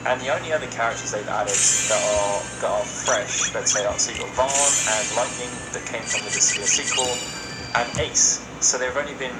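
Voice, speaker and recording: A man's voice: mid-range voice, moderate pace, over loud music, rough recording. A man talking casually as a podcast host in a discussion about games.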